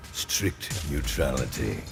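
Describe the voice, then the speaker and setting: An actor playing a sly, oily, courteous guild broker in a video game cutscene, with a smooth-talking, mannered delivery. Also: male voice, a bass voice, background noise, full character voice. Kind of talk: cinematic video game acting.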